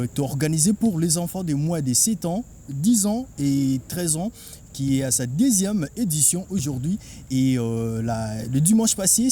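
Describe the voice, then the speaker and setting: A man's voice: a little high, warm tone, a little hiss or hum. A man hosting a live sports interview, speaking hesitantly with many fillers. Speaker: sports show host. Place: outdoor stadium.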